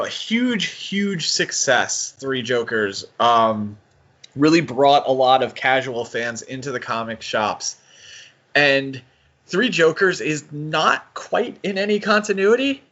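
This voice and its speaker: A man hosting a podcast in a casual discussion style, talking in a halting, conversational way. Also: fairly high pitch, expressive, a bit bright, muffled recording. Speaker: podcast host.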